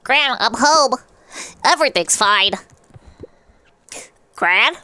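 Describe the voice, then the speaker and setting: A woman's voice: a little high, lively intonation, takes it slowly, pushed and bright, clean tone, pitched above the performer's own voice, exaggerated voice. A woman voicing an earnest, apologetic young boy animal hero in a cartoon game, reading his dialogue aloud. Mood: anxious. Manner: earnest, explaining himself.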